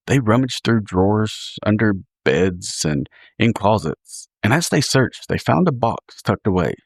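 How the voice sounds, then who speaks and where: A man reading scripted true crime narration in a measured, dramatic storytelling manner, as a podcast host. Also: on the low side, husky, dry recording, studio quality.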